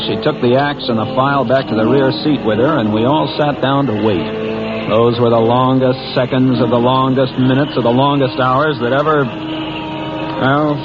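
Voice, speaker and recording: A man's voice: mid-range voice, lightly acted. An actor playing an American bus driver who narrates his own suspense tale in a folksy, plainspoken 1940s radio style. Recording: muffled old radio recording with loud music.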